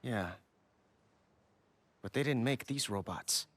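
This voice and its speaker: An actor voicing an eager, earnest young hero lad in a video game cutscene. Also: male voice, medium pitch, slow pace, fairly bright tone. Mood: troubled.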